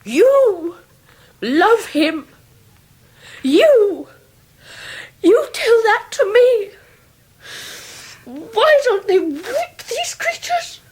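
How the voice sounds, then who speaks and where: An actress playing a scornful upper-class English Victorian lady in a radio drama, with a breathy, biting, theatrical delivery. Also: very high-pitched, very animated, extremely slow, long pauses, a little mellow, exaggerated voice, low-quality recording. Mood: outraged fury. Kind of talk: 1990s radio drama acting.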